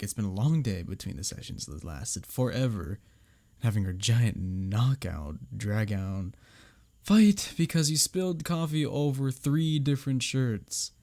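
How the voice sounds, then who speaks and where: A man acting an arrogant, teasing office rival who is secretly smitten, in an intimate audio roleplay, often breathy and near a whisper. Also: medium pitch, a little mellow, dry recording. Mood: tired, exasperated. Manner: smirking, teasing, halting when flustered. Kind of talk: intimate ASMR audio roleplay addressed to the listener.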